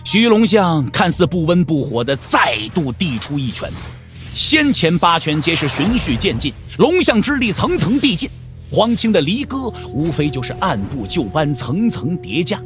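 Animated, high-pitched male storyteller. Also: moderate pace, music in the background. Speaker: narrator of a wuxia audio drama. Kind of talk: dramatic scripted storytelling narration.

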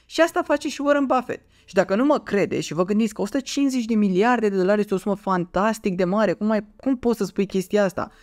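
A man's voice: high voice, no room sound, studio quality. A man, explaining things casually to camera in a conversational way, with rhetorical questions and repeated words for emphasis. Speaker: finance YouTuber, host. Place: small dim home room, indoors.

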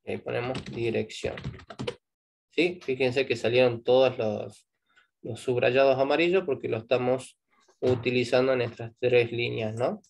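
A man's voice: mid-range voice, moderate pace, gravelly. A man with an Argentine Spanish accent teaching a live online programming class, explaining step by step and checking in with "¿sí?" after each point.

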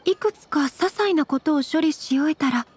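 A woman playing a young noble lady in an anime game, elegant and gentle, with formal, courteous speech. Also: high-pitched, moderate pace, slight background noise, close to an everyday voice. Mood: courteous, composed.

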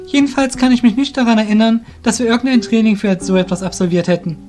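An eager young apprentice of a shadow guild, acted by a man in a fantasy radio play. The voice is high, light and youthful, with an earnest, polite way of talking. Music plays underneath. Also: fairly even intonation, fast talker, darkish tone, close to an everyday voice. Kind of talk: amateur fantasy audio drama acting.

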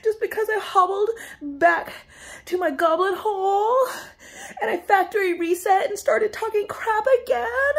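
A woman's voice: high-pitched, gravelly, full character voice. A woman voicing a self-pitying goblin creature who fake-sobs, whines and sniffles through a comedic monologue to camera. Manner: fake sobbing, whining and sniffling.

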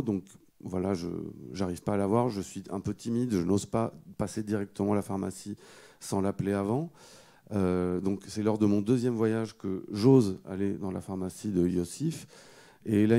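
Low, very mellow man, halting speech. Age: middle-aged. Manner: halting, hesitant delivery with repeated words. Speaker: guest speaker, photographer. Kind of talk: unscripted storytelling at a panel discussion. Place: indoor auditorium stage. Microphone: handheld microphone held close.

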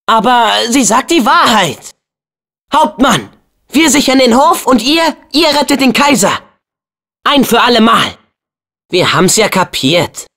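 An actor voicing an earnest, excitable teenage boy hero in a video game, with a youthful, expressive and eager delivery. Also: very high voice, full character voice. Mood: excited, urgent. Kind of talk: video game character dubbing.

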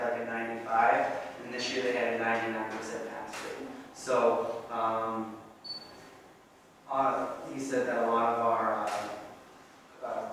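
A young man reads out a meeting report in a level, flat voice, stopping often on ums and uhs. He is picked up from far off in an echoey meeting room with a long tail of reverb and faint hiss. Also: mid-range voice. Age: young adult. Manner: halting, many ums and uhs. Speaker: student government president. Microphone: far camera.

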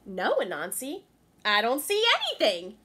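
A woman voicing a calm, clever female little deer with a patient, playfully innocent manner. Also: a little high, a little slow, breathy edge, exaggerated voice.

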